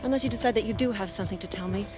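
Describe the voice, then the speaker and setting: An actress playing a cold, menacing middle-aged villain in a video game, measured and mocking as she threatens. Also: medium pitch, over music, lightly acted. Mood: mocking menace. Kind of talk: cinematic video game acting.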